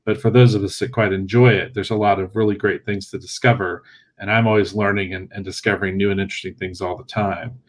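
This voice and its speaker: A man hosting a casual livestream show, wordy and courteous, in halting phrases. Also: fairly low pitch, flat delivery. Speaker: podcast host.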